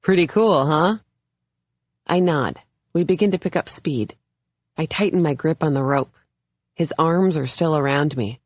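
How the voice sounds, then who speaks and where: A woman narrates a first-person thriller audiobook in a low voice. The recording is dry and close, with the scripted flow of a novel being read. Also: moderate pace, no echo.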